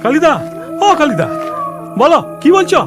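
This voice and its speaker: An actor playing a naive, anxious young cremation-ground worker in a cartoon horror story, calling out in exclamations. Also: male voice, very high-pitched, sing-song, moderate pace. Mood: puzzled, concerned. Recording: music laid under the voice.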